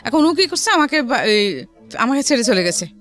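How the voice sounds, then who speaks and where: A middle-aged woman with a Bangladeshi accent telling her life story in a studio interview, haltingly and often repeating phrases. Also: sits high, very animated, fairly bright tone. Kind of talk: personal storytelling in a radio interview. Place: radio studio. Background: background music.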